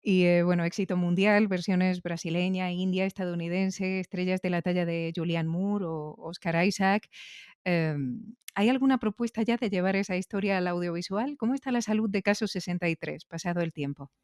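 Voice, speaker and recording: A woman's voice: fairly low pitch, moderate pace, darkish tone, clear, resonant tone, no echo, studio quality. A woman with an accent from Spain conducting a conversational podcast interview, thinking aloud as she talks. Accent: Spanish from Spain.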